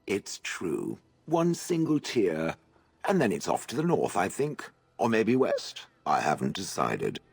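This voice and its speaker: An actor voicing an aloof, sardonic stone golem in a video game, with a formal, deadpan, gravelly delivery. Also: male voice, sits low, unhurried, no room sound, full character voice.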